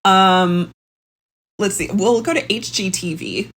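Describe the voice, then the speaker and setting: Halting young woman in casual comedy chat. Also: mid-range voice, a bit bright. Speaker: comedian guest on a podcast.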